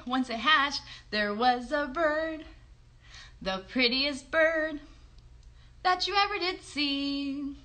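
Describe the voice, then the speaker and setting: High, bright-voiced woman hosting for children. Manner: animated, encouraging, talks to small children. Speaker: children's livestream host and puppeteer. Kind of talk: children's singalong and chat to young viewers. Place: bedroom, indoors. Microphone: phone at about arm's length or a little more.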